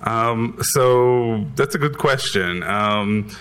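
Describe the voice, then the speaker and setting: A man's voice: medium pitch, drawn-out words, fairly bright tone, smooth. A man from Israel with an Israeli accent, answering audience questions after a conference talk, hesitant with many ums and restarts. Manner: hesitant, many ums, restarts, light self-deprecating laughs. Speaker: conference speaker.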